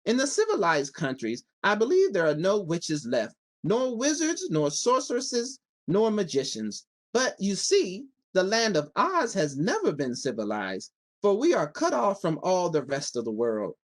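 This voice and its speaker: A man's voice: sits high, huge pitch swings, a little slow, heavily acted. Man voicing a kindly, lilting little old witch. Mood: explaining.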